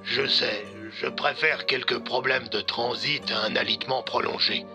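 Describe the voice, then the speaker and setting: Man playing a gravelly old police commissioner, sharp-edged. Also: mid-range voice, steady tone, moderate pace, faint music behind. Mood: resigned. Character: gruff, weary old male police commissioner.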